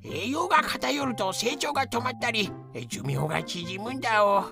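A woman's voice: on the high side, animated, moderate pace, gravelly, over music. A woman voicing a cheeky, taunting young male cockroach with a cutesy verbal tic in a comedic audio drama. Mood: smug explaining.

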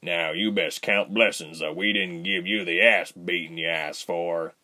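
A man voicing a big, gruff, menacing man with rustic speech and a low rumbling voice in a story. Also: steady tone, measured pace, sharp tone, full character voice.

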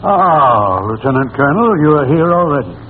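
A man's voice: on the high side, very animated, brisk. A man playing a pompous, scheming older prime minister in an old radio comedy, hesitating and repeating himself. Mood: flustered, brisk. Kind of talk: 1940s radio comedy acting. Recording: noisy, tinny old-time radio recording.

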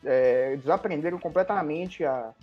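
A man from Salvador, Brazil, talking as a guest on a podcast in a relaxed, hesitant way, often restarting his sentences. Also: on the high side, a little slow, breathy edge, dull audio, faint music behind. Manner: hesitant, many restarts and fillers. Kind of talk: casual chat.

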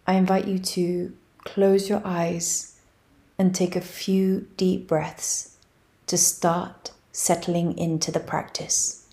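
A woman guiding a yoga nidra meditation, speaking softly and evenly with long pauses. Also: lowish voice. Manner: slow, soft and even, with long pauses. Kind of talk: guided meditation.

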